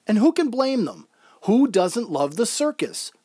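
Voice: mid-range voice, very animated, a little slow, dark tone, breathy edge, no room sound, clean studio sound. A performer playing a wistful, proud circus clown in a reflective first-person audio drama monologue. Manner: reflective, descriptive storytelling.

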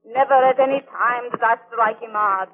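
A woman's voice: fairly high pitch, moderate pace, lightly acted. An actress playing a young female servant accused of murder, pleading and defiant in a 1930s radio drama. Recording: tinny lo-fi 1930s radio transcription.

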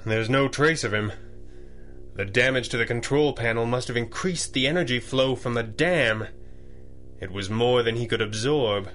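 An actor playing a resolute, wry masked superhero with crisp authority in a radio drama. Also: male voice, medium pitch, normal speed, a bit bright, faint music behind, close to an everyday voice. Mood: grave. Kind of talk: 1930s-style radio drama acting.

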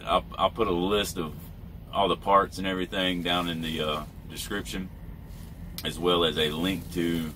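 A man with a deep, low voice talks informally, like a trucker walking viewers through a job. His delivery is laid-back and folksy. He is recorded inside a truck cab, with faint noise and ambience behind him. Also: pushed and bright. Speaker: truck driver and vlogger. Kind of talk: casual how-to vlog talk.

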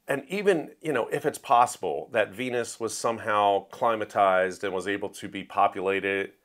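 A man's voice: fairly low pitch, fairly bright tone. Conversational man giving an opinionated review. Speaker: host of a book review show. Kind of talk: casual, opinionated book review monologue.

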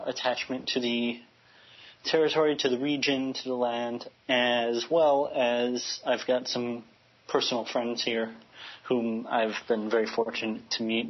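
A man's voice: medium pitch, level tone, unhurried, breathy edge, muffled recording. A man from Chicago speaking earnestly as a radio interview guest, often restarting his phrases as he searches for words. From United States, Chicago.

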